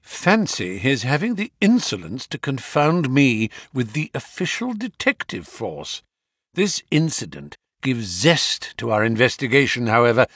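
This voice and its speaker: A man voicing a cool, polite gentleman detective with an imperturbable, wry manner in an audiobook story. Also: mid-range voice, slightly rough, dry recording, studio quality. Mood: amused, keen. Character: cool, bland, amused male gentleman detective.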